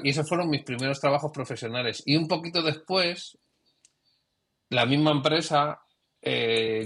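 A man's voice: medium pitch, bright, forceful tone. A middle-aged man from Spain, a professional photographer, talking reflectively about his career in an interview, with trailing restarts. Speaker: guest photographer. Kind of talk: conversational interview.

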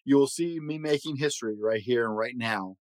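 A man's voice: mid-range voice, a little fast, no echo, studio quality, natural voice. A man voicing a defiant old grandfather in a storybook, scolding and proclaiming.

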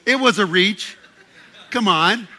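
Playful, joking man with a high, bright voice. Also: lively intonation, takes it slowly, a little hiss or hum. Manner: playful, joking, often repeats and restarts words. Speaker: speaker addressing a group. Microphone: handheld microphone.

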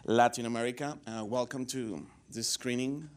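A man's voice: mid-range voice, steady tone, normal speed. A man with a Colombian accent moderating a post-screening Q and A, with lots of hesitations and fillers. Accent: Colombian Spanish. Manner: hesitant, many fillers and restarts.

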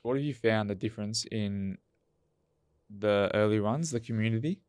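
Man, low pitch, clear smooth voice, dry sound, rambling casual questions. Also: moderate pace, darkish tone, no room sound. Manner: rambling questions with many restarts and "like". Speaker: podcast co-host. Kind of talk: casual interview chat.

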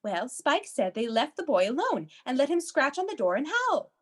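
Actress doing fussy prim agency lady, high voice that swoops around, reassuring, radio comedy style. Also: very animated, normal speed. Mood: flustered, coaxing. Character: fussy, reassuring female babysitting agency dispatcher. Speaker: actor in a radio play. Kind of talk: comedic radio play acting.

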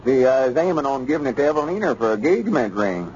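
Actor doing a drawling rural Arkansas storekeeper, lively intonation; dull, hissy old radio sound. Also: male voice, medium pitch, natural voice. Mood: cautious. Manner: drawling, slow, hesitant. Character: slow-talking country storekeeper, cautious. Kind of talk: 1930s radio comedy acting. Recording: muffled 1930s radio transcription with hiss.

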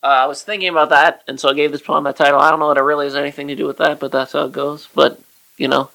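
A man hosting a podcast, chatting easily with chuckles and reading a poem of his own slowly. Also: fairly high pitch, level tone, creaky, sharp tone, rough recording. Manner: easygoing, chuckling, reads poem slowly and deliberately. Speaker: podcast host. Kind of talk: casual podcast chat and poetry reading.

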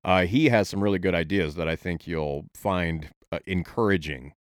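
A man hosting an interview, speaking in a polite, measured way. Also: low-pitched, dry recording, studio quality. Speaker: podcast host.

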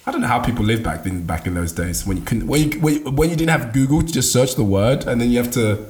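A man from Nigeria chatting casually, halting and restarting often with lots of fillers. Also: mid-range voice, lively intonation, a little mellow. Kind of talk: casual chat. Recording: echoey room.

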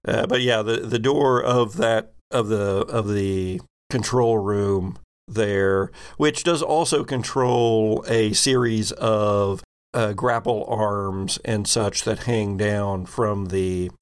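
A man narrating a tabletop sci-fi game as game master, explaining in a halting way. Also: on the low side, fairly even intonation, clean tone.

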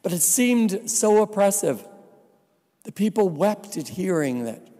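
A man from New Jersey preaching a sermon as a visiting priest, slow and deliberate with dry asides. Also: sits high, animated, mellow. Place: church. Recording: reverberant church hall.

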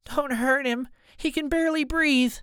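A man's voice: extremely high pitch, fairly even intonation, unhurried, bright, forceful tone, no echo, studio quality, pitched above the performer's own voice, exaggerated voice. A man voicing a frightened mother held hostage in an audiobook, tearful and pleading. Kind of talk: audiobook character dialogue.

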